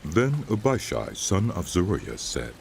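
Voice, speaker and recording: A man narrating a dramatized Bible as a scripted, measured storyteller. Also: sits low, wildly swooping pitch, creaky, a little mellow, a little hiss or hum.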